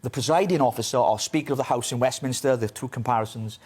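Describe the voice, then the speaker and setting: A man's voice: mid-range voice, a little mellow, breathy edge. A Welsh man giving forthright, opinionated political commentary in a radio interview. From Wales. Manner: forthright, opinionated, quick delivery.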